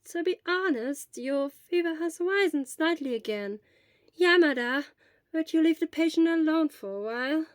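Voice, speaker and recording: A woman voicing a kindly but firm elderly school nurse with a measured manner in an audio story. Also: high-pitched, expressive, no echo, studio quality, voice pushed up.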